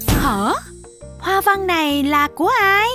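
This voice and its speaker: Very high, bright woman narrating for children. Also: expressive, slow pace. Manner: exclamatory, talks directly to child viewers. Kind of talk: animated scripted storytelling for young children. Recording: music behind the voice. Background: children's music.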